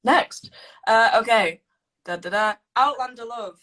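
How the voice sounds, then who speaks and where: A young woman, chatting playfully and agreeing quickly in a casual conversation. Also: fairly high pitch, a crowd in the background. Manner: bubbly and playful, lots of ums and quick agreement. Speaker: actor answering fan questions. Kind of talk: casual chat.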